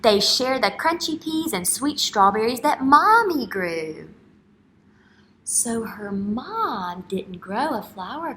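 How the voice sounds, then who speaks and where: A woman in her thirties, an elementary teacher reading a picture book aloud and putting questions to young children in an expressive way. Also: a little high. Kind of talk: children's read-aloud and retelling lesson. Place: indoor classroom. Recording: clear background room noise.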